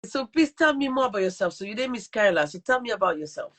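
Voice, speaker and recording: A woman's voice: medium pitch, very animated, fast. A woman with a French accent, hosting a casual live podcast over a video call while getting over a cold. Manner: chatty, warm greetings, lots of "okay" and "all right" fillers. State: recovering from a cold. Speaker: podcast host.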